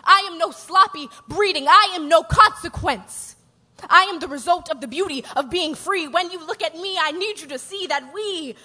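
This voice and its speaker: A woman's voice: sits high, pushed and bright. A woman performing spoken-word poetry on stage in an emphatic, declarative way, building on repeated phrases. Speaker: slam poet. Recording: reverberant hall, stage performance.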